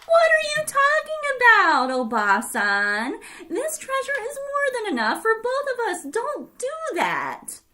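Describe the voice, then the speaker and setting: Kind old grandpa, polite and gentle, voiced by a woman storyteller, storybook tale. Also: sits high, expressive, measured pace, exaggerated voice. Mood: pleading.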